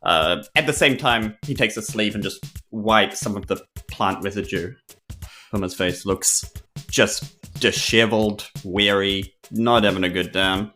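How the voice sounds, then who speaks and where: A man's voice: fairly low pitch, measured pace, bright, forceful tone, faint music behind. A man with a New Zealand accent playing his character with dry, self-deprecating jokes. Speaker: player.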